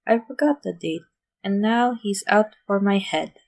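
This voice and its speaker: A woman reads as a young swordsman in an audio story. He is subdued and sheepish, owning up quietly. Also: medium pitch, unhurried, vocal fry. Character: sheepish young swordsman man seeking advice.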